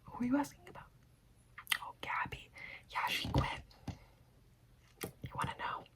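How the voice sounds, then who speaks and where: A woman's voice: a little slow, fairly bright tone. A young woman in an ASMR roleplay, whispering as a chatty, gossipy nail technician.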